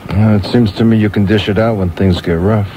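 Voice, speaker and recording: An actor playing a middle-aged, witty, sardonic screenwriter with a wry, mocking manner in a radio play. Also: male voice, on the low side, steady tone, brisk, warm tone, slight background noise, lightly acted, poor audio. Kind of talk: radio drama dialogue and monologue. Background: music.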